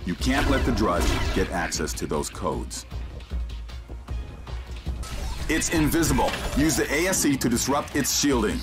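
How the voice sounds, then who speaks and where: Casting: male actor as grave, urgent tech-expert mission guide for a video game, commanding and deliberate, loud music underneath. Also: mid-range voice, expressive, lightly acted. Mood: focused, instructive. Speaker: mission guide over radio.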